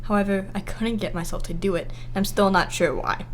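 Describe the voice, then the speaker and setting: A woman playing a wry, cynical private detective with a soft heart, narrating and talking in a radio drama in a dry, understated way. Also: mid-range voice, steady tone, brisk, natural voice. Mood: reflective. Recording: some background noise and ambience.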